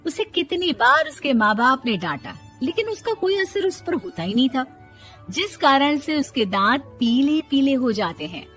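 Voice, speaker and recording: Animated, high-voiced woman storyteller. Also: measured pace, faint music behind. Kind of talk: scripted cartoon story narration.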